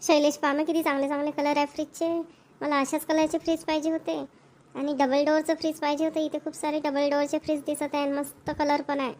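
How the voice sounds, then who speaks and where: A woman's voice: sits high, flat delivery, fast, darkish tone, lightly acted. A woman voicing a chatty, insistent young wife in a Marathi cartoon, with a Maharashtrian accent. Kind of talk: cartoon voice acting.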